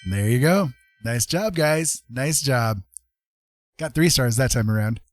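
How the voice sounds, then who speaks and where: Male livestream host, casual and jokey, highly animated delivery, cheering players on, dry sound. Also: measured pace, dry recording. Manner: encouraging, jokey. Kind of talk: casual livestream game commentary.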